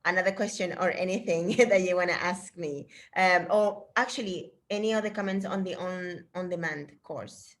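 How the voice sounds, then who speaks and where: A woman's voice: lowish voice, moderate pace, few pauses. Chatty middle-aged woman from Chile on a video call. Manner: chatty and encouraging, with fillers and restarts. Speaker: fitness trainer hosting a video meeting. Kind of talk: casual chat on a video call.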